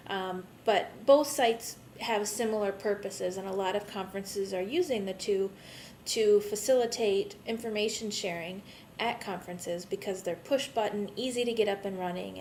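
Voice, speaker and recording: A woman, a bit tired, giving a conversational, rambling video update to her online students as their course instructor. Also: darkish tone. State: a bit tired, jet-lagged. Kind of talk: informal recorded video message to students. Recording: rumbly background noise.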